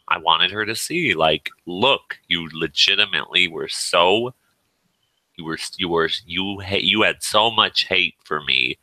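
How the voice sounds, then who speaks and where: A man with an extremely deep yet sharp, forceful voice tells a personal story in casual chat. He rambles emphatically and acts out remarks as he goes. The sound is dry and close. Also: no room sound, rough recording. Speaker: participant in an online voice chat.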